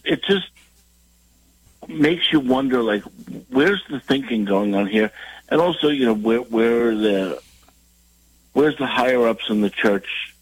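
A man with a cold calls in by phone as a guest, his voice husky and the sound dry. He talks in a wry, self-mocking way, then turns earnest when he gets to faith. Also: medium pitch, no room sound, low-quality recording. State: has a cold. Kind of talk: radio call-in chat. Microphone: phone line.

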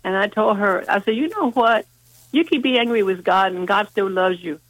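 A woman's voice: mid-range voice, moderate pace, no room sound. A woman calling in by phone, congested from a sinus infection, telling a folksy story. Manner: chatty storyteller, folksy, repeats herself. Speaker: caller. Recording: dry phone line.

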